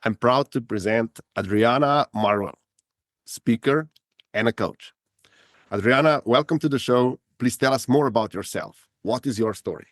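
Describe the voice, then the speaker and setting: A man's voice: mid-range voice, normal speed, dry recording, clean studio sound. A man hosting a podcast, asking questions in a hesitant way with many fillers and repeated words. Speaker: podcast host. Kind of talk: podcast interview.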